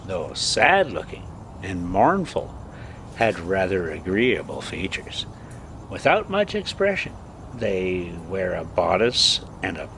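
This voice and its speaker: Guy reading a storybook slow, pitch moves around a lot, kinda halting, bit of background rumble. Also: a bit bright. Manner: halting, stumbling over words. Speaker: host reading stories aloud for a pet video channel. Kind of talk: amateur storybook reading with casual asides.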